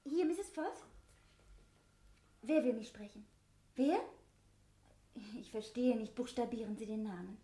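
An actress playing an anxious, tender and well-meaning wife in a sixties radio drama, earnest and pleading. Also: female voice, a little high, lively intonation, a little slow, long pauses, dark tone. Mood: polite. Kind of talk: 1960s German radio drama acting.

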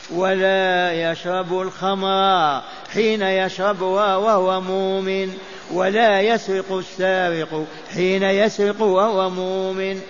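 Older guy chanting a mosque lesson, highish voice, muffled lo-fi tape. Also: slight background noise. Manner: chants and repeats texts for memorizing. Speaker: mosque teacher. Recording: dull lo-fi lecture recording.

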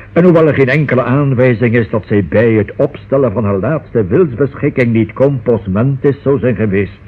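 An actor playing a cautious, pedantic notary in a Dutch radio drama, formal, long-winded and pompous. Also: male voice, mid-range voice, husky. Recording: thin, muffled old radio recording.

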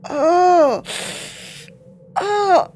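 A woman playing a plucky young boy adventurer in an audio drama, with an expressive delivery. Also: very high voice, extremely slow, faint music behind, exaggerated voice. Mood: pained, curious. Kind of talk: acted audio drama with inner narration.

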